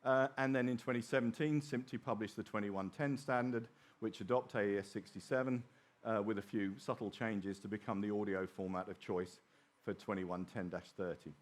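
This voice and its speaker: A man's voice: fairly low pitch, fairly even intonation, normal speed. A middle-aged man giving a technical conference presentation in an informal way with wry asides. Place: small open presentation theatre at a trade show.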